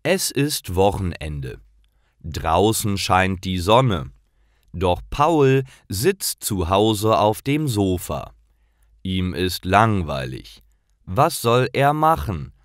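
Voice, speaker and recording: A man giving slow, carefully articulated scripted narration of a learner's story. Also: deep voice, big pitch swings, no room sound, clean studio sound.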